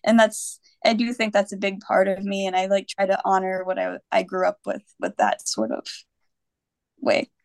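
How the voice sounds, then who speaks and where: A woman's voice: mid-range voice, normal speed, creaky, no echo. Earnest American woman, dry close sound. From Pennsylvania, USA. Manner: thoughtful, earnest, with many fillers. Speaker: podcast guest. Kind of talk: casual podcast chat.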